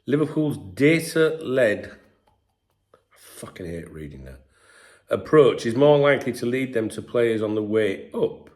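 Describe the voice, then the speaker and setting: A middle-aged English man talks casually to his viewers, his low voice gravelly and full of fry. He sounds bunged up with a sore throat and keeps clearing his throat between filler-heavy sentences. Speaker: football channel host. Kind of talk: casual commentary to camera.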